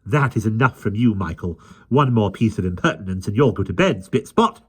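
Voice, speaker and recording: Stern prim nanny, haughty, clipped, indignant, raised pitch, a man doing her voice, storybook reading. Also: wildly swooping pitch, measured pace, breathy edge, exaggerated voice. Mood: wrathful. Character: stern, prim, easily offended female nanny.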